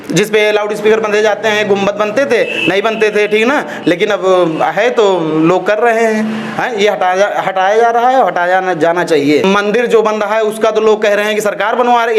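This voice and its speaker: High-pitched man arguing his point. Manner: argumentative, emphatic, repeats phrases and asks rhetorical questions. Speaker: interviewee, social activist. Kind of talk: opinionated street-interview talk.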